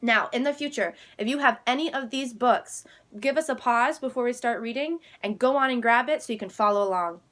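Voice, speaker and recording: A woman's voice: a little high, brisk, few pauses, a bit bright. A woman hosting a story time for young children, speaking to them in an upbeat, enthusiastic and encouraging way.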